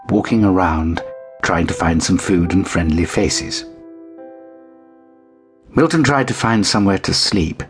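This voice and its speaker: A man narrating a children's bedtime story in an expressive storytelling style. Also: sits low. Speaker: audiobook narrator.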